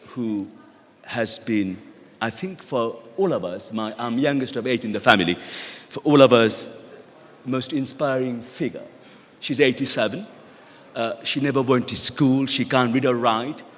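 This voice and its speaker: A middle-aged man with a Bangladeshi accent, a native Bengali speaker, gives a motivational ceremony speech as a guest, in measured, halting short phrases. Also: medium pitch. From rural Bangladesh. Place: large indoor event hall. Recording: echoey hall with long reverb.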